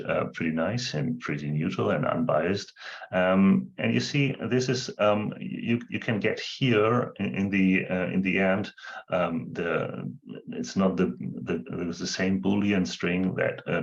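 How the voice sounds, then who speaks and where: Gravelly low man, non-native English speaker. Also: fairly even intonation, highs cut off. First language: not English. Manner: halting, many ums and uhs. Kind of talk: screen-share demonstration.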